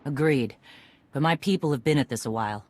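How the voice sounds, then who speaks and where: An actor playing a tough, no-nonsense warrior clan leader in a video game, giving blunt orders. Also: male voice, mid-range voice, fairly quick, no room sound, close to an everyday voice. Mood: blunt, measured. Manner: blunt, commanding.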